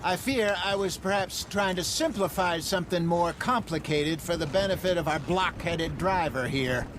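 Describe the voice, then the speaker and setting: A man's voice: high voice, moderate pace, background noise, close to an everyday voice. An actor playing an older, talkative outlaw con man in a western game, with a wry, folksy, fancy-worded way of speaking. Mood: wry, reflective. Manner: wordy, folksy, wry storytelling. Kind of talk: naturalistic western game acting.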